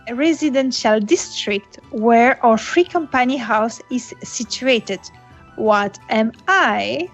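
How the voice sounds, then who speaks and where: A woman hosting a casual gaming webcast over a call, speaking slowly and laughing often. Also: on the high side. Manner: slow, laughs often, non-native English phrasing. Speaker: podcast host. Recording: dull lo-fi audio with faint music.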